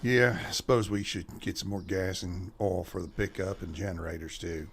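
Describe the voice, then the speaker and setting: A man's voice: low-pitched, fairly even intonation, normal speed, vocal fry, slightly rough, exaggerated voice. A man playing a folksy, plain-spoken backwoods moonshiner father with a rural Southern US accent in a radio drama. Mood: matter-of-fact.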